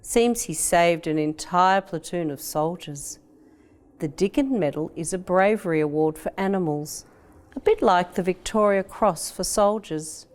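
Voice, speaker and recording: A woman's voice: lowish voice, unhurried, darkish tone, natural voice. A woman voicing a kindly, proud Australian father in a children's storybook.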